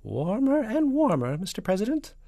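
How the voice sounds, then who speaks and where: A performer voicing a twitchy, flattering chief spy in a hesitant, excitable falsetto for a storybook. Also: normal speed, dry recording, studio quality. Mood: excited, urging.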